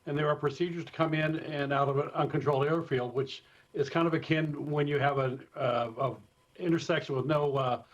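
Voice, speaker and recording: A man with an American accent explaining things informally and in measured fashion as an expert guest in a live interview, over a remote feed. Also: a little high, steady tone. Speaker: expert guest, former airport communications director. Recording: dull, slightly lo-fi remote feed.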